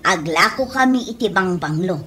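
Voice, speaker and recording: A woman's voice: on the low side, expressive, moderate pace, noisy background, natural voice. A woman with an Ilocano accent playing a boisterous wife who peddles perfume in a comedy radio drama. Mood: cheerful gossiping.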